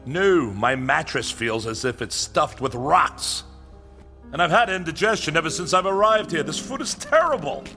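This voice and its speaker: A fussy, self-important scientist with a high, forceful voice that swings animatedly. He is haughty and forever complaining, in theatrical vintage comedy style, with music underneath. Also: expressive, moderate pace. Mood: grumpy, disgusted. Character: pompous, irritable male scientist, perpetually dissatisfied.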